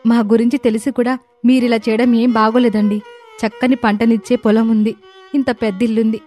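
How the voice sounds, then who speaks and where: A woman's voice: a little high, flat delivery, brisk, mellow, soft background music, lightly acted. A woman playing a distressed young village wife, speaking in a plaintive, reproachful manner.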